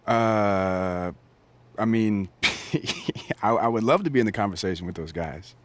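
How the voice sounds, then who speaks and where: An actor playing an easygoing young American basketball rookie in a game cutscene, talking in a laid-back, slangy way. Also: male voice, fairly low pitch, unhurried, a bit bright. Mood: relaxed, modest. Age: young adult. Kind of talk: naturalistic game cutscene dialogue.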